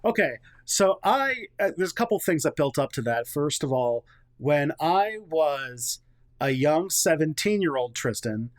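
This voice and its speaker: A man in his thirties talks casually as a podcast guest, with a voice a little higher than most men's. He is chatty and self-deprecating and laughs easily. The recording is dry and close, with no room sound. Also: sing-song, measured pace, dry recording. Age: 30s. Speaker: guest, history content creator.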